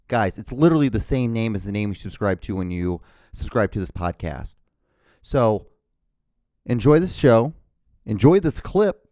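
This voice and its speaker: Guy from honduras doing a solo podcast, swears a lot, trips over his words, mellow voice, dry sound. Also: mid-range voice, no room sound. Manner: profane, ranting, with frequent stammered restarts. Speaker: podcast host. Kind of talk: casual solo podcast monologue.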